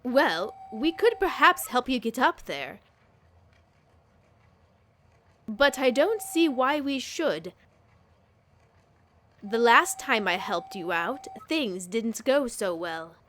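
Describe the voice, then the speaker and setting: Practical, guarded flyer for a game fan dub, played by a woman: measured pace, expressive lines. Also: fairly high pitch, slight background noise, full character voice. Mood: reluctant. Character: cautious, reluctant professor's colleague, practical flyer. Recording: music under the voice.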